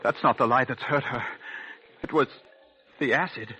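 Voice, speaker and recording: A man's voice: medium pitch, normal speed, a crowd in the background, natural voice, poor audio. A man playing a nervous, polite young curate in a 1940s radio drama, earnest and a little stammering. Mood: grave. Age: young adult.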